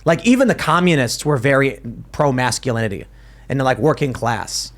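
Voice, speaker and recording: A man's voice: mid-range voice, normal speed. A man hosting a casual podcast panel, talking emphatically and often restarting his sentences. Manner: emphatic, animated, with frequent restarts. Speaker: podcast host.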